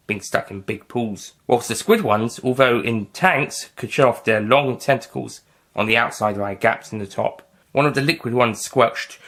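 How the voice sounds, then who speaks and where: Husky male audiobook narrator. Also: mid-range voice, fairly bright tone.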